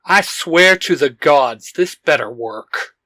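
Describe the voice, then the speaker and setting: A woman voicing a sarcastic, distrustful, hot-headed male team leader in a dramatic audiobook, loud and sneering. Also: low-pitched, animated, slow, dry recording, full character voice. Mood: skeptical. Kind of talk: dramatic audiobook character acting.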